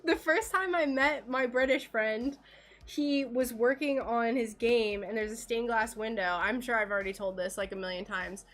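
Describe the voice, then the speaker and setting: A woman's voice: a little high, normal speed, fairly bright tone. An American woman streaming her craft work, chatting casually and giggling, with a slightly worn voice. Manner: chatty, giggly, rambling with fillers. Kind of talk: casual chat while working.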